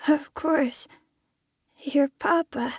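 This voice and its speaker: A very slow, fragile little boy. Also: high-pitched, background noise. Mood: drowsy, timid. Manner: hesitant, fragile, childlike. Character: timid, bewildered young boy turned little monster. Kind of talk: dramatic video game voice acting.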